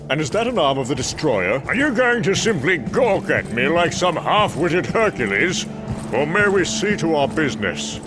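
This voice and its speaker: A man playing a proud, brash Norse thunder god in a motion comic, with bold, archaic, theatrical diction. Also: medium pitch. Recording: music behind the voice.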